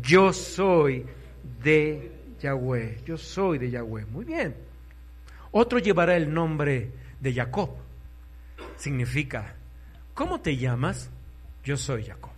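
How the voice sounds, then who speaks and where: A man preaching a sermon, fervent, swinging between soft and loud, urging listeners to repeat after him. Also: on the high side.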